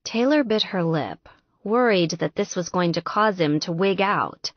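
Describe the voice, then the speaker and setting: A woman narrating an audiobook novel in an expressive, scripted storytelling style. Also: fairly low pitch, unhurried, no echo, muffled recording.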